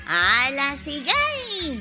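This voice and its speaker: An actress playing an emotional village wife in a radio drama, with an Ilocano accent and drawn-out, expressive exclamations. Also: female voice, high voice, heavily acted. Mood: forgiving, emotional. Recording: loud background music.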